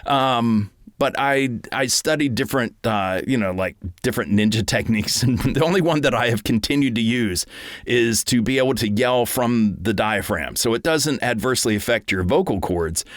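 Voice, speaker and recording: A middle-aged man and voice actor, talking casually from his home recording booth, relaxed and rambling with many ums and restarts. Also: medium pitch, moderate pace, no room sound, clean studio sound. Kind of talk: casual talk to camera.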